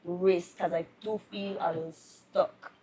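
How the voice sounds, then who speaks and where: A woman's voice: lowish voice, fairly even intonation, a little slow, warm tone, slight background noise. A woman from the Virgin Islands with a Caribbean accent, a musician speaking candidly and casually as a podcast guest. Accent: Virgin Islands Caribbean English. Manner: candid, laid-back, slangy.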